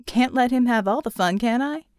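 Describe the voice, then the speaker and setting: A woman's voice: fairly high pitch, normal speed, a little mellow, smooth, no room sound, clean studio sound, lightly acted. A woman voicing a flirtatious, tough female bar owner, teasing and bossy, in an audiobook story.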